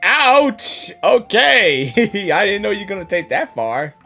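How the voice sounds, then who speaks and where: Excitable, bright-voiced woman reacting to games. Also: medium pitch, slow, faint music behind. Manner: excitable, slangy, playful shouting. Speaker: gaming reaction streamer. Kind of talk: casual excitable game reaction commentary.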